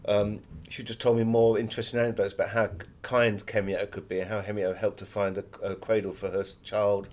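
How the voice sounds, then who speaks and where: Man, low voice, author in interview, conversational, anecdotal. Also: level tone, fast, hardly any pauses, a little mellow.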